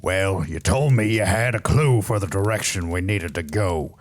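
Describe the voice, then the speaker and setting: Guy doing a lowish grating griffon, stern and demanding, fantasy audiobook. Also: normal speed, close to an everyday voice. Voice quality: harsh, grating. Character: stern, harsh-voiced male taloned griffon companion.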